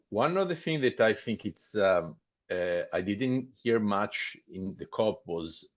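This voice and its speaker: A man giving his views in an online group discussion, hesitant and restarting his sentences often. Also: fairly low pitch. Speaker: panel participant. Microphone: video call. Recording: muffled video call audio.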